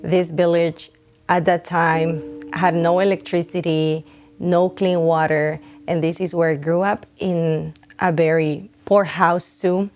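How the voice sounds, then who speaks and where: A woman's voice: lowish voice, flat delivery, slow, a little mellow, faint music behind. A young woman from Honduras, founder of a nonprofit, giving an earnest, heartfelt testimony with some hesitations. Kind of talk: documentary testimony interview.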